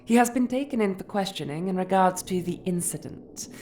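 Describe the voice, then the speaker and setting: Lady doing a formal cold-procedure lab interrogator for a comic dub, clinical and measured, bit of music behind. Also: medium pitch, expressive, mellow, lightly acted. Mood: formal, detached. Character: detached, formal female research officer interrogating a prisoner. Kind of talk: scripted comic dub voice acting.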